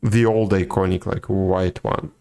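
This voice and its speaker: A man chatting casually as a live game streamer, musing aloud in a low-key, halting way. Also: steady tone, gravelly, a little mellow, smooth.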